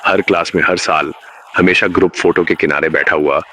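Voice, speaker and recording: A man narrating a scripted horror story in a measured, ominous manner. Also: low-pitched, steady tone, sharp tone, slight background noise.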